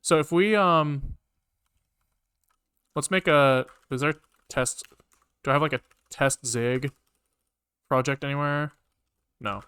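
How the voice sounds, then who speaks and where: Bright, clear-voiced man thinking aloud. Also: a little high. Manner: muttering to himself, halting, trailing off and questioning himself. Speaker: live-coding streamer, programmer. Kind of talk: casual thinking aloud while programming on a livestream.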